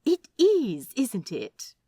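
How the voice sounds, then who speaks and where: A woman plays a prim male angel from a storybook, fretful and earnest. The pitch is lifted and swoops with curiosity. The phrasing is polite, fussy and hesitant, in a dry, close recording. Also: huge pitch swings, drawn-out words, warm tone, husky, dry recording, heavily acted. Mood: relieved, eager.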